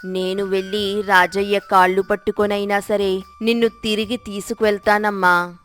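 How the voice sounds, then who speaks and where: An older village aunt voiced by a woman storyteller, fretful and full of remorse. Her mid-pitched voice laments and beseeches in storybook style over background music. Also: level tone, normal speed, a bit bright, natural voice. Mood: contrite pleading. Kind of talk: storybook character dialogue.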